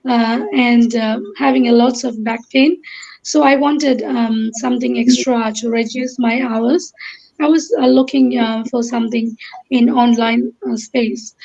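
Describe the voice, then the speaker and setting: A woman's voice: on the high side, darkish tone. A woman from Kerala with a Malayali Indian accent, a native Malayalam speaker, giving a hesitant personal account in short phrases as an interview guest on a lo-fi online call. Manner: hesitant, piecing thoughts together in short phrases.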